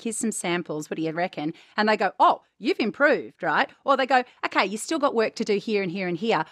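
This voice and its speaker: A woman's voice: mid-range voice, no echo, studio quality. An Australian woman co-hosting a podcast about voice acting, talking in a chatty way and often checking in with "right?". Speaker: podcast co-host, voice actor. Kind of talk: casual chat.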